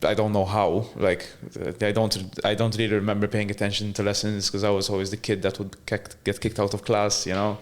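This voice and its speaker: A man's voice: fairly low pitch, flat delivery, a little fast, few pauses. A man who is a podcast guest, telling stories from his life in a laid-back, rambling way with frequent restarts and easy laughter. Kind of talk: casual interview storytelling.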